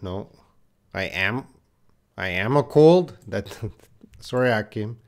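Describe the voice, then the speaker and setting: A man's voice: lowish voice, expressive, slow pace. A man teaching English in a live online lesson, patiently reading out viewers' answers and correcting them with repeated example phrases.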